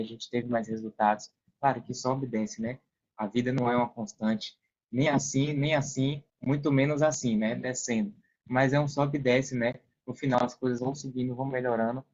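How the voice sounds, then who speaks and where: Brazilian man, mid pitch, dull lo-fi audio. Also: a little mellow. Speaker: podcast guest, affiliate marketer. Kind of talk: casual, explanatory interview talk. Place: online video call.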